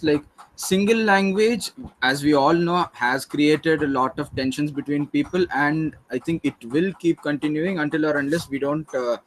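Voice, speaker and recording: A man's voice: fairly high pitch, poor audio. A young man with an Indian English accent making his case in a group discussion, earnest, with many fillers and restarts. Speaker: debate participant.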